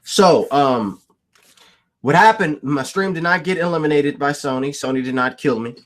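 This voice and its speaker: A man's voice: on the high side, normal speed. A man hosting a casual livestream, who laughs often, repeats himself and answers his chat. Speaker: livestream host.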